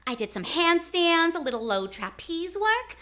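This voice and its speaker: Feisty female radio-drama lead, acted by a woman: sharp, piercingly high voice, snappy and expressive. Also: normal speed, full character voice. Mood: wistful, candid. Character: feisty female library cataloger, former circus acrobat. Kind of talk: live radio drama acting. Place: theater stage.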